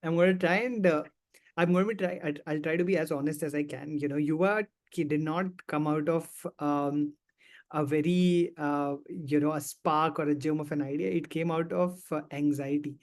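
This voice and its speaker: High-voiced man, earnest and reflective. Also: fairly even intonation, normal speed. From India. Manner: earnest and reflective, with frequent restarts and repeated phrases. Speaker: panel guest. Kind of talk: candid personal storytelling.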